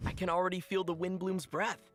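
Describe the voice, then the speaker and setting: A man's voice: sits high, level tone, a little fast, fairly bright tone. A man co-hosting a game update program, explaining events in a friendly, chatty scripted way. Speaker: program co-host.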